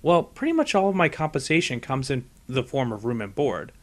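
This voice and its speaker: A man's voice: mid-range voice, big pitch swings, fairly quick, natural voice. A man voicing a studious young female unicorn pony in a storybook reading, polite, earnest and a little hesitant. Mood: modest, reluctant. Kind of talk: fan fiction audiobook character voice.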